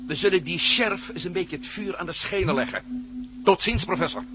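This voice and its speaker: An actor playing a determined, probing amateur investigator in a radio play, with an assertive manner. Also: male voice, sits high, a little fast, lightly acted. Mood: pressing. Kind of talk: radio drama acting. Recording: faintly noisy radio play recording.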